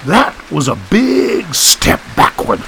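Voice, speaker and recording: A man doing a gravelly, folksy wisecracker. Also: high-pitched, huge pitch swings, slow, a bit bright, slight background noise, voice pushed up, full character voice. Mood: teasing. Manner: folksy grammar, sermonizing quips.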